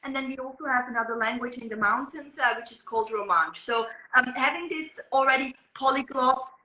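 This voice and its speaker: A woman's voice: sits high, fairly quick. Swiss woman, echoey dull audio. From Switzerland. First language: Swiss German. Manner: thoughtful, with frequent ums and uhs. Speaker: journalist and guest. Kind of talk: interview conversation.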